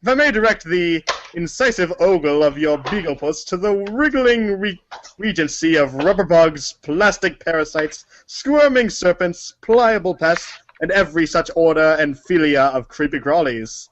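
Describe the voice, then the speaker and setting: Casting: man playing jovial, pompous vintage colonel, high-pitched, florid and exclamatory; dull lo-fi recording. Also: expressive, normal speed, a little hiss or hum, natural voice. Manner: florid, exclamatory, archaic wording. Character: pompous old-timey male prankster colonel, jovial and florid. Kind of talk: theatrical reading of a humor book. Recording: dull lo-fi audio.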